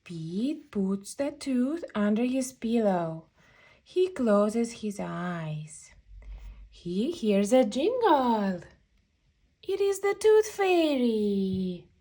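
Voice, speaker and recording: A woman reading a children's picture book aloud, telling the story in an expressive, deliberate way for young kids. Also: fairly high pitch.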